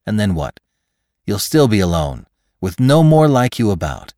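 A man's voice: on the low side, sing-song, moderate pace, mellow, no echo, clean studio sound. A man voicing a logical, reasonable man in a storybook reading, arguing insistently and articulately for his life. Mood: urgent reasoning. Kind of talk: dramatic storybook narration.

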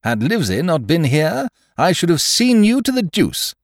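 A hot-headed country squire from a storybook, put on by a male narrator. He blusters and exclaims at a raised pitch, loud and wildly animated. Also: normal speed, dry recording, clean studio sound. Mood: blustering, offended.

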